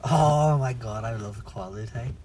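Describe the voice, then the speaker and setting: A man's voice: medium pitch, unhurried, darkish tone, a little hiss or hum. A man co-hosting a casual podcast, teasing his friend and laughing often. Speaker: podcast co-host.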